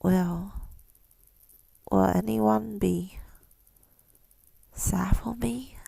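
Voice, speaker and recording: A woman giving an intimate ASMR monologue, mostly in a breathy whisper, with a tender, hesitant, affirming manner, close to the mic. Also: mid-range voice, steady tone, extremely slow, warm tone, dry recording. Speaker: ASMR creator speaking to listeners.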